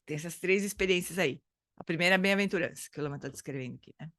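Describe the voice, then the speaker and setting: A woman's voice: lowish voice, racing, fairly bright tone, no room sound, studio quality. A woman leading a dharma study group, explaining in a casual, hesitant way and often stopping to search for words. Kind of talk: casual spoken teaching.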